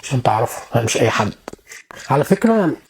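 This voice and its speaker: A man's voice: mid-range voice, very animated, quick pace, low-quality recording. An Egyptian man giving a mock-serious informal explanation, boastful and full of emphatic rhetorical questions.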